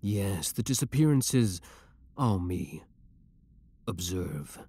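A man playing an obsessive, menacing young villain with cold devotion, speaking deliberately and theatrically in a scripted ASMR roleplay. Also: low-pitched, animated, mellow, slightly rough, exaggerated voice. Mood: possessive menace.

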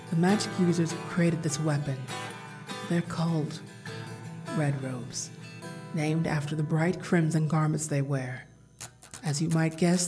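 A woman voicing a grave, composed director of a secret organization, measured and formal, in a comic dub. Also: deep voice. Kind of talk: scripted character acting.

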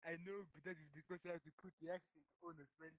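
A man's voice: fairly high pitch, fairly even intonation, very fast, lo-fi sound, natural voice. A man putting on a Ugandan accent as a cheeky, insistent character who pleads and calls people brother in improvised comic chat. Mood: mock earnest. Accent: put-on Ugandan.

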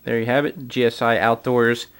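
A man's voice: medium pitch, little pitch movement, moderate pace, a bit bright, smooth. Man casually walking through camping gear. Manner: casual, with frequent "uh" fillers. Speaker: gear reviewer. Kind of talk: product walkthrough. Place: indoors, camera over a carpeted floor.

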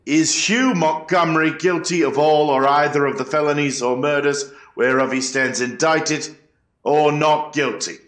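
A man's voice: a little high, steady tone, measured pace, fairly bright tone. A man playing an eighteenth-century court clerk, calling out formal, ritual proclamations to a jury. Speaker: actor in a historical trial reenactment. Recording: echoey room.

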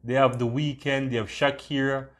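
A man's voice: mid-range voice, little pitch movement, normal speed, fairly bright tone. A man hosting a casual screen-recorded tutorial, talking in an enthusiastic, repetitive way. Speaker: tutorial host.

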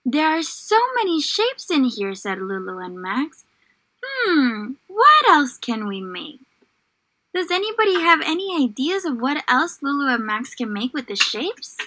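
Very bright, high-voiced woman teacher. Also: unhurried. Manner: encouraging, animated, prompting with questions. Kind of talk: children's online lesson with story read-aloud.

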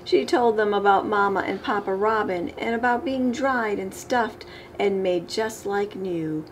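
A middle-aged woman reading a children's picture book aloud with expressive, emphasized storybook delivery. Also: medium pitch, unhurried, low-quality recording. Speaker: storytime reader. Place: living room, indoors. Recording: clear background room noise.

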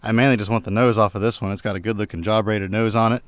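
A man in his thirties giving a relaxed, plain-spoken walkthrough of his junkyard, often trailing off quietly at the end of a remark. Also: lowish voice, fast, no room sound. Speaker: junkyard owner and channel host. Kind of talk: casual vlog walkthrough. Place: outdoor junkyard and inside a pickup truck.

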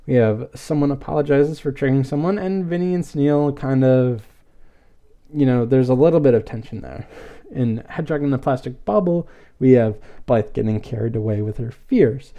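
A man's voice: mid-range voice, normal speed, warm tone, muffled recording. A man hosting a podcast, casually reviewing an episode in a rambling, hesitant but enthusiastic way. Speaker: podcast host.

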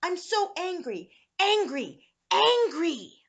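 A woman's voice: on the high side, lively intonation, fast, bright, forceful tone, voice pushed up. A woman voicing a sensitive, stubborn small boy in a children's storybook, with a childlike, emotional delivery. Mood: furious. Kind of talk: children's storybook read-aloud.

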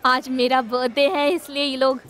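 A woman's voice: sits high, flat delivery, moderate pace, fairly bright tone. An actress playing a cautious young café owner who worries about the risks her friends take. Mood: dry sarcastic. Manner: worried, pleading. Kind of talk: web series comedy acting.

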